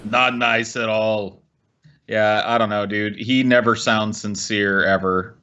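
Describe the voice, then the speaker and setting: A man's voice: lowish voice, steady tone, takes it slowly, pushed and bright, clean tone, highs cut off. A man with an American accent discussing the news casually as a podcast co-host.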